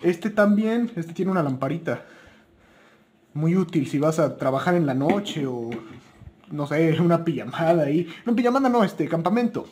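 A man's voice: on the high side, expressive, normal speed, a little mellow. A man with a Mexican Spanish accent giving buying tips in a chatty, informal, unscripted way, correcting himself as he goes. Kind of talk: casual unscripted product talk.

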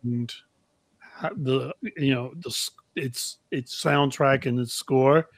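A man's voice: medium pitch, fairly even intonation, slow, no room sound. A middle-aged man hosting a podcast in a casual, chatty style. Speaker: podcast host. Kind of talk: casual chat.

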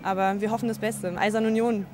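A woman's voice: medium pitch, flat delivery, fast talker. A young woman, a football fan, chatting in a casual street interview outdoors with noise around her. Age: young adult. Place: outdoors on a wooded path among fans. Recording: clear outdoor background noise.

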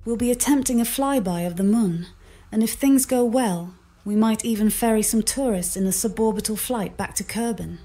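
A woman's voice: on the high side, moderate pace, mellow. A synthetic woman's voice speaking as an AI mission companion, in a polite, formal, scripted assistant style. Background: background music.

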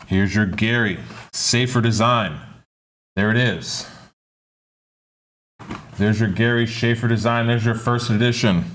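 A man's voice: lowish voice, steady tone, takes it slowly, long pauses, a bit bright, slight background noise. A man hosting a live-stream auction, repeating himself and hyping each item in an upbeat patter.

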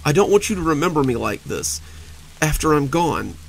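A frightened young wife speaks in a high, unnaturally sweet voice that wavers as she fights back crying, voiced by a male narrator. A gravelly edge runs under the raised pitch. Soft rain patters faintly in the background. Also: huge pitch swings, a little slow, slight background noise, heavily acted, rough recording. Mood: tearful. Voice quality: high, overly sweet, voice breaking.

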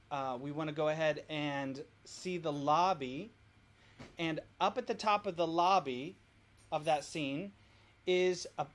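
A man hosting from home, informally explaining things step by step in a casual walk-through. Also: sits high. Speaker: host of a family worship demo. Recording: noisy home recording.

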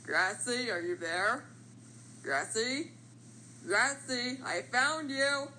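Woman as a slow-talking cartoon triangle. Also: on the high side, lively intonation, pushed and bright, a little hiss or hum, exaggerated voice, rough recording. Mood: playful. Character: confident, playful living triangle cartoon character. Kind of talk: animated character voice lines.

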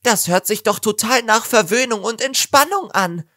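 A performer playing an eager, oblivious castle servant in an audio drama, fussy, servile and cheerful. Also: female voice, on the high side, expressive, normal speed, pushed and bright, no room sound, studio quality. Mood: obliviously eager. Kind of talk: German audio drama acting.

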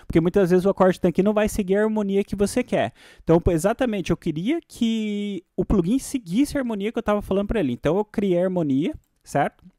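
A man with a Brazilian Portuguese accent casually walking through music software as a tutorial host, often checking in with the listener. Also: high voice, fast talker, no room sound, clean studio sound.

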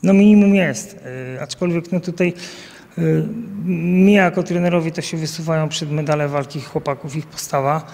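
A man's voice: a little high, steady tone, moderate pace, gravelly, darkish tone. Coach guy in his 30s talking into a handheld mic outside, lots of umm and restarts, bit of a lingering echo. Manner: hesitant, many fillers and restarts. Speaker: national team coach. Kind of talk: interview answers. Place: outdoors by a banner at an event. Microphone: handheld mic held by interviewer.

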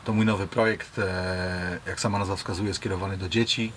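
A man presenting his own creative project as its musician and creator, in halting, hesitant phrases. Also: low voice, fairly even intonation, fairly bright tone, a little hiss or hum.

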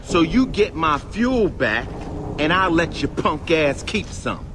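A smug, swaggering fuel baron. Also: male voice, on the high side, sing-song, slow, background noise. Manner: smug, mocking. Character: swaggering greedy fuel baron, middle-aged man. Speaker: video game character.

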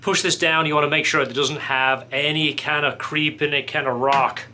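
A man's voice: fairly high pitch, steady tone, normal speed, bright, forceful tone, a little hiss or hum. A middle-aged man casually explaining a product as a fabricator, in an informal, hands-on way. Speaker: fabricator and welder presenting a product. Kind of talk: casual workshop product demonstration. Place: small indoor workshop office.

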